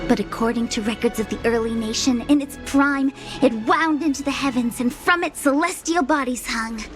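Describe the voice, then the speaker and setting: A woman playing a playful, easily flustered young diviner guide in a video game, chatty and expressive. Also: sits high, normal speed, natural voice. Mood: casual, knowing. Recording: background music under the voice.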